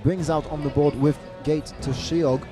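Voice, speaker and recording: A man giving live play-by-play commentary on a card game match, stressing repeated words and correcting himself quickly. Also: on the high side, steady tone, over music. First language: English. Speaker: esports caster. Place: event venue abroad.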